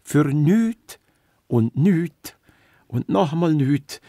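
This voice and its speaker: Pompous, highish-voiced Swiss earthworm. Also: male voice, expressive, very slow, warm tone, dry recording, clean studio sound, full character voice. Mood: weary, smug. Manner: pompous, precise, long-suffering. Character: intellectual, pompous, easily annoyed male earthworm. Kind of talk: children's radio play acting.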